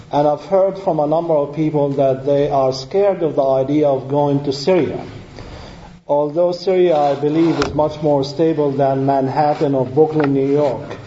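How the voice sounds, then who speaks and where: A man who grew up speaking Arabic and Hebrew gives a public lecture at a measured pace, often pausing on "uh". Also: fairly high pitch, warm tone, slight background noise. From Middle East. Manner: measured, with frequent uh fillers. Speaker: guest lecturer. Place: lecture room. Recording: roomy, dull lecture-hall recording.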